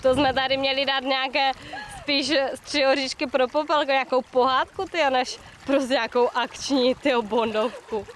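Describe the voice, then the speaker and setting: Woman, high voice, teasing, slangy casual commentary, behind the camera, outdoors, loud water noise. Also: fairly even intonation, few pauses. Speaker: camera operator. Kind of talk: casual chat, commentary while filming friends. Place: outdoors on a riverbank. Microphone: camera microphone, held by the speaker. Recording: noisy outdoor handheld camera, running water.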